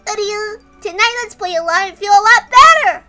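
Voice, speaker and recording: A woman's voice: extremely high pitch, expressive, normal speed, pushed and bright, soft background music, voice pushed up, full character voice. A woman voicing a small, sweet, childlike lion plush toy in a babyish manner, from a visual novel read aloud. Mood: playful, inviting. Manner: babyish, with a cute verbal tic.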